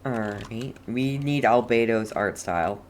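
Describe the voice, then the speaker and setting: Slow, very low-voiced woman gamer. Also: a little mellow, a little hiss or hum. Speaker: game streamer. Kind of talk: casual live gameplay commentary, reacting aloud.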